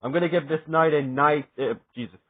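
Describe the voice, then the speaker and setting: A young adult man giving a rambling, excited spoken review, swearing often and stumbling over fillers and restarts. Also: on the high side, fairly quick. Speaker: wrestling reviewer. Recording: tinny phone-like sound.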